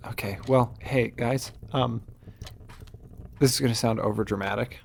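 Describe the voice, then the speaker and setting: A man's voice: medium pitch, steady tone, normal speed, creaky, darkish tone. A man playing a tabletop game, talking casually in character with the others at the table. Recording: faint background room noise.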